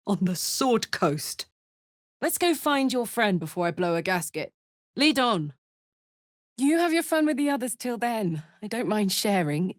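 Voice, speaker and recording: A woman voicing a brash, warm-hearted tiefling warrior in a video game, with blunt, earthy soldierly talk. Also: mid-range voice, dry recording, clean studio sound. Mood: upbeat. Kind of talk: video game character voice acting.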